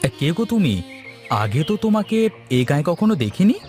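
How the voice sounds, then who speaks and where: A man's voice: high voice, big pitch swings, a little fast, a little mellow, faint music behind. A man voicing a kind, curious young village lad in a cartoon, polite and inquisitive. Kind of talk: Bengali moral-story cartoon dubbing.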